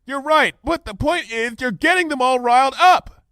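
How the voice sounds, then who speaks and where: A man's voice: extremely high pitch, normal speed, bright, forceful tone, no echo, voice pushed up, exaggerated voice. A man doing a flustered bookish young mare. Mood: urgent, flustered. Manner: flustered, pleading calls. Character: anxious, bookish young female unicorn scholar.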